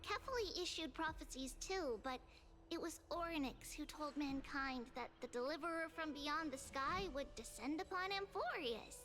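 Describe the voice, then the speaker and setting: A woman voicing a gentle young attendant in a game, speaking softly, politely and reassuringly. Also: sits high, expressive, moderate pace, soft background music.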